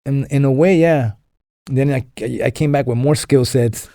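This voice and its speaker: A man's voice: mid-range voice, normal speed, mellow, no room sound, studio quality. A young man from Los Angeles, a podcast guest telling his story in a rambling way, full of fillers and restarts. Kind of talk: casual storytelling interview.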